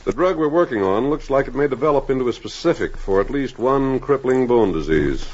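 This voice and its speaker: An actor playing an absent-minded, cautious research doctor in a 1950s radio drama. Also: male voice, medium pitch, lively intonation, brisk, lightly acted. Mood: measured. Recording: muffled, noisy vintage radio broadcast.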